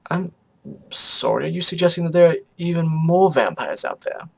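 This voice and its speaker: A man's voice: on the high side, animated, moderate pace, creaky, voice pushed up. A man acts out a polite young pony in a storybook reading. The character is anxious and careful with words. The delivery is hesitant and easily flustered. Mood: uneasy.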